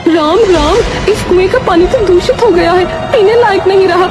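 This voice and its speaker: A woman voicing a fussy village woman in a cartoon story, with a shrill voice. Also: normal speed, over loud music. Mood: alarmed. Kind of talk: cartoon voice acting. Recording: crowd noise behind.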